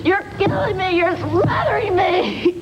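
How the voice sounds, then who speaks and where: A woman with an extremely high, tearful voice, speaking slowly through distress in a confrontation exercise. Music plays clearly behind her. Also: lively intonation, highs cut off. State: crying, distressed. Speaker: therapy participant. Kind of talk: emotional confrontation exercise, repeating prompted phrases.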